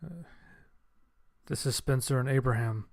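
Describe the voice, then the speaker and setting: A man's voice: medium pitch, a little slow, creaky, darkish tone, no room sound, studio quality. A man narrating a scripted horror story in the first person.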